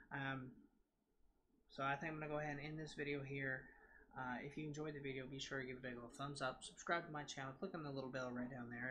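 A young man with a bright, slightly nasal voice talks straight to his webcam audience, excited and rambling, tripping over restarts and ums. He sits close to a desk mic on an arm in a big room at home, with a faint hum of ambience behind him. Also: medium pitch. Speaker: gaming vlogger. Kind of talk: casual vlog to camera. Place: large indoor room at home. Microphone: desk mic on a scissor arm, close.

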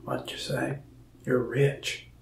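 A young man talking softly and partly whispering as a folksy mask shop salesman in an ASMR roleplay, rambling in an easy way. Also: medium pitch, sing-song, slow, reverberant, recorded from a distance, background noise. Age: young adult. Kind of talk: soft-spoken ASMR roleplay. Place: indoor room before a wall of masks.

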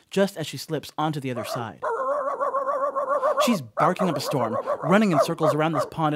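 A man's voice: a little high, slight background noise, lightly acted. An actor playing a stoned male dog-sitter who tells a spooky mishap in a conversational, wry radio horror monologue. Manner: conversational, wry, animated asides.

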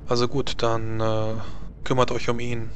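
Scholarly court mage for a tabletop game, voiced by a man, formal and hesitant, mid-range pitch, light music underneath. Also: monotone, unhurried, natural voice. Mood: earnest. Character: scholarly, helpful male court mage in star robes. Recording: music behind the voice.

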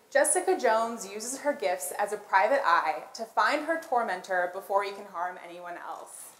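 American woman giving an echoey keynote. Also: mid-range voice. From born in Colombia, grew up in Los Angeles. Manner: conversational, poses questions to the audience. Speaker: conference keynote speaker, product designer. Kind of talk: rehearsed conference talk with storytelling. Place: on stage in a large auditorium. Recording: roomy echo of an auditorium.

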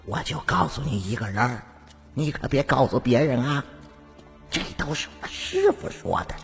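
A man's voice: medium pitch, lively intonation, moderate pace, vocal fry, a bit bright, pitched above the performer's own voice. A man voicing a talkative, smug, gossipy Taoist priest in an audiobook. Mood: sly, confiding. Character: chatty, smug, sly male Taoist priest, gossiping eagerly. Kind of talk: audiobook character acting. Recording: long hall reverb, music behind.

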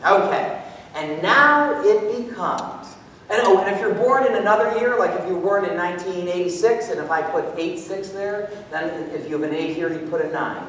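A middle-aged man giving a live math demonstration to an audience, explaining step by step in an animated manner. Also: high voice, moderate pace. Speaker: presenter demonstrating mental math. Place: large auditorium stage, indoors. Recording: echoey hall from far off, background noise.

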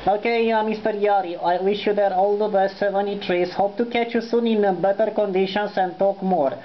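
A man's voice: sits high, fairly even intonation, brisk. A man with a Romanian accent making an amateur radio contact, courteous and formulaic, spelling phonetically. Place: indoors, at a desk beside the transceiver. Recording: dull lo-fi sound with faint noise.

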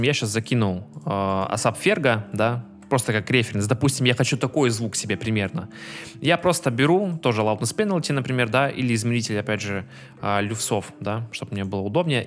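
A young man explaining music production in an informal, slangy tutorial style, talking into a desk microphone in a home room. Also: mid-range voice. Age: young adult. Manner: informal, slangy, peppered with tag words. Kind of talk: casual screen-recorded tutorial, explaining. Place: small home room, indoors. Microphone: desk microphone close to the mouth. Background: loud music from the track being mixed.

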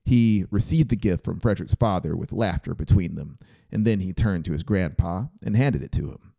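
Low, mellow male audiobook narrator with creaky edge. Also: moderate pace. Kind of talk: scripted story narration. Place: small brick-walled room indoors. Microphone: close studio microphone on boom arm.

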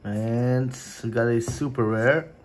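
A young man whose first language is Portuguese talks casually at a slow, easy pace, filling his sentences with filler words. He records at a desk mic in his home gaming room. Faint wrapper crinkling sits underneath his voice. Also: medium pitch, slight background noise. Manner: chatty, lots of filler words, excitable outbursts. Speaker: card opening vlogger. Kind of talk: casual unboxing chat.

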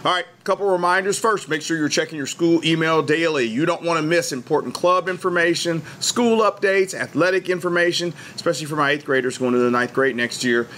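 A man's voice: fairly high pitch, a little fast, hardly any pauses, fairly bright tone, a little hiss or hum. A middle-aged man giving upbeat, friendly school video announcements to students from a small school studio. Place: school TV studio, indoors, green screen.

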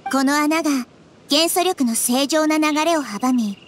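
A woman voicing a wise, gentle child-like nature deity in an anime game, calmly and thoughtfully explaining in a soft girlish voice. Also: high-pitched, measured pace, a little hiss or hum. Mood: thoughtful, intrigued.

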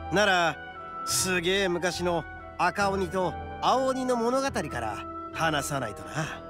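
A man playing a brash, big-hearted young oni gang boss in a Japanese anime game, with rough-edged, blunt street-tough slang. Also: high-pitched, lively intonation, takes it slowly, over music. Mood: reluctant, earnest.

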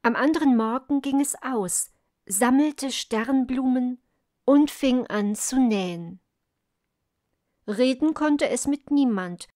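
A woman's voice: on the high side, darkish tone, no echo, clean studio sound. A woman storyteller reading a scripted fairy tale narration.

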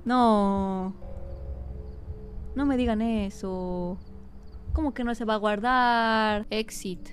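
A woman's voice: medium pitch, steady tone, slow, long pauses, soft background music. A woman with a Mexican accent commentating a horror game as a streamer, dramatic and teasing, sometimes breaking into song. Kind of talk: gaming livestream commentary.

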